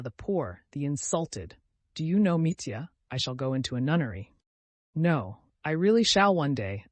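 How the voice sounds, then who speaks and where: A man reading aloud, voicing a drunk, passionate young woman who babbles excitedly. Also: medium pitch, dry recording, close to an everyday voice.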